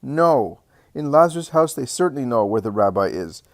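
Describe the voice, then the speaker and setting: A man's voice: medium pitch, lively intonation, normal speed, no room sound, clean studio sound, pitched above the performer's own voice, lightly acted. Man acting pompous, insinuating religious elder. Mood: coaxing. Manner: insistent, smooth-tongued questioning.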